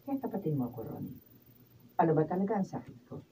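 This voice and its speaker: A woman's voice: sits low, big pitch swings, fast talker, warm tone. A woman acting a suspicious, anxious middle-aged mother in a Filipino radio drama, with a Filipino accent. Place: radio drama recording session. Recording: roomy far mic, faint noise.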